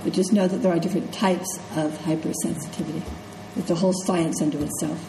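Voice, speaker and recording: A woman's voice: on the low side, steady tone, fast, background noise. A middle-aged woman with a congested voice, giving a conversational classroom lecture as a nursing instructor and checking in with her students as she goes. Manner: conversational, checks in with "okay?" and "right?". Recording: echoey classroom with faint ambience.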